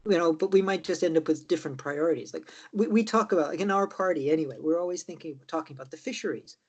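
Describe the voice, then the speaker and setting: Irish male party member, breathy-husky voice, rambling conversational delivery. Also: a little high, quick pace, muffled recording. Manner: rambling, with many "like" and "right?" tags. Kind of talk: casual political discussion.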